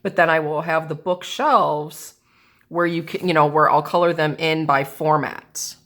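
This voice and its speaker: Woman, lowish voice, a bit stuffy and sinusy, casual craft chat, thinks out loud and changes her mind. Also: steady tone, unhurried. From American Midwest. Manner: thinking aloud, indecisive, chatty with viewers. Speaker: planner and journal crafter. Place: indoors at a craft table. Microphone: camera over the table.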